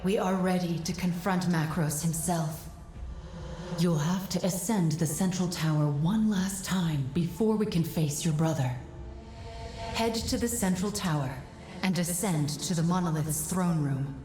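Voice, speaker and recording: An actress voicing a steadfast mystical guide in a fantasy video game, grave and measured as she advises a warrior. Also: fairly low pitch, mellow, natural voice. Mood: urgent, solemn. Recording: echoey, music behind. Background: game score.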